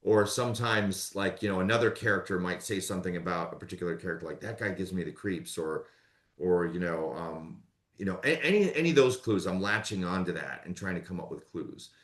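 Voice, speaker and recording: A man with a fairly low voice answers interview questions in a loose, easygoing way. He pauses and fills gaps with "like" and "you know" as he thinks aloud, and the room gives his voice a noticeable echo. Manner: relaxed, rambling with many fillers. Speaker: audiobook narrator.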